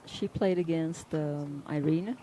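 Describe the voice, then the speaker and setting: A woman's voice: sits low, unhurried, dark tone, slight background noise, rough recording. A woman from Belgium co-commentating a live match, explaining and agreeing.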